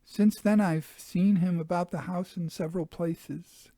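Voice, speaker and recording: A man's voice: high-pitched, fairly even intonation, unhurried, dark tone, no room sound, voice pushed up. Man voicing a nervous, earnest country housewife. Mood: uneasy recounting. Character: nervous farmer's wife living in a haunted house.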